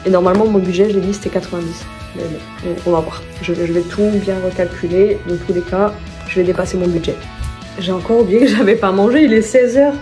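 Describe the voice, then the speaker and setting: A chatty woman talks through her shopping haul at home in a relaxed, mellow voice. She rambles and corrects herself as she goes. Soft music plays quietly behind her. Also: fairly low pitch, fast. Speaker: vlogger. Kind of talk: casual vlog chat, showing her shopping haul.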